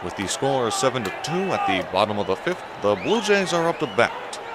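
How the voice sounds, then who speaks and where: An actor playing an earnest play-by-play anchor, mock-serious in a parody of sports commentary. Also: male voice, mid-range voice, sing-song, normal speed, over a noisy crowd, close to an everyday voice. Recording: very noisy broadcast sound.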